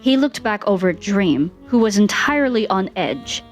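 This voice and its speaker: A woman narrates a fan fiction story with lively, swinging intonation. Her voice sits in a middle register, and soft music plays underneath. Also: medium pitch, normal speed.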